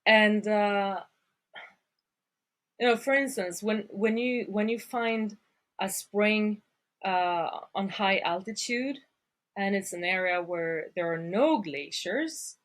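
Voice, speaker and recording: A woman's voice: medium pitch, slow pace. A woman from Sweden, speaking English as a guest on a podcast interview, thoughtful and hesitant with frequent fillers. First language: Swedish. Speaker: guest, water surveyor.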